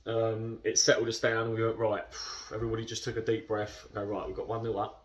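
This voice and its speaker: A man in his thirties, a football club captain, talking through a match in a post-match interview, chatty and measured with many fillers. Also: on the low side, completely flat delivery, far from the mic. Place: indoor club room.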